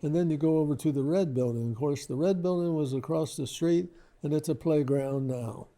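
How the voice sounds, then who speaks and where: Very mellow older man. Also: fairly high pitch. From Lebanon, Ohio, United States. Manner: halting, modest, rambling. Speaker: interview guest. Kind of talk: casual reminiscing.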